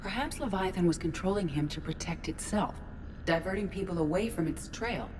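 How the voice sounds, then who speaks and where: An actress voicing a composed, analytical artificial intelligence crew member in a video game, with precise, measured and formal speech. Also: female voice, fairly low pitch, expressive, background noise, lightly acted. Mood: calm, analytical.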